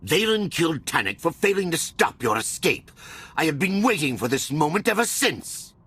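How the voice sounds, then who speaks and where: An actor playing a vengeful, humourless enemy officer in a video game, stern and self-satisfied. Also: male voice, a little high, sing-song, normal speed, pushed and bright, breathy edge, full character voice. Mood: gloating, grim. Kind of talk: dramatic video game acting.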